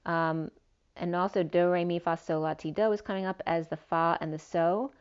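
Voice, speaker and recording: A woman's voice: lowish voice, steady tone, normal speed, clear, resonant tone. A woman giving a spiritual tarot reading, meandering through long lists of cards with fillers, her voice slightly worn. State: losing her voice a little. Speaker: tarot reader. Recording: dull lo-fi sound.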